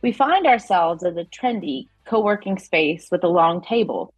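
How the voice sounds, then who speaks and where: A woman's voice: fairly low pitch, expressive, moderate pace, breathy edge, no echo, faint music behind. A woman narrating an audio play from a script, setting scenes and introducing characters.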